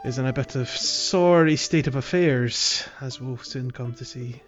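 A man co-hosting a casual game podcast, relaxed, trailing off and chuckling. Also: mid-range voice, a little slow, lo-fi sound, soft background music. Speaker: podcast co-host. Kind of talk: casual chat.

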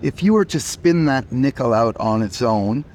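A man's voice: medium pitch, lively intonation, normal speed, darkish tone, a little hiss or hum. A company chief executive, a man who is losing his voice, answering interview questions emphatically and often repeating words as he starts.

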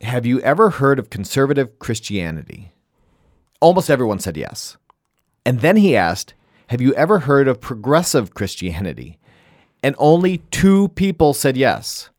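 A man co-hosting a studio podcast, talking casually with a jokey edge. His pitch swings widely as he talks. The sound is dry and close with no room echo. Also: normal speed. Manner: jokey, animated, laughs easily. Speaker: podcast co-host.

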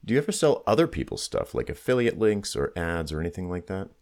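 A man with a low voice leads a conversational interview. His intonation moves around a lot, giving the questions a lively shape. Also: fast, creaky.

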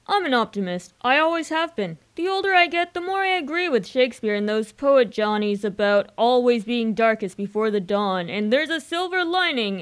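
Woman doing a posh chummy young gent in a radio play, optimistic chatterer, highish voice. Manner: chatty, chummy, old-fashioned slangy talk. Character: breezy, optimistic upper-class young gentleman of leisure. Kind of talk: radio comedy drama acting.

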